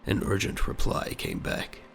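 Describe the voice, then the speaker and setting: A man's voice: moderate pace, slight background noise. A man narrating an audio drama in a dramatic, scripted storytelling style.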